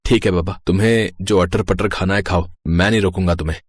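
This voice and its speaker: A man playing a simple, good-natured young village-bred husband in a cartoon story, with a husky voice and patient, teasing manner. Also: low voice, steady tone, brisk, no echo.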